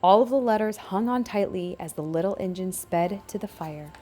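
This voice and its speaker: A woman's voice: mid-range voice, normal speed, dark tone. Woman reading a children's storybook aloud. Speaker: storybook reader. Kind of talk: scripted children's read-aloud narration.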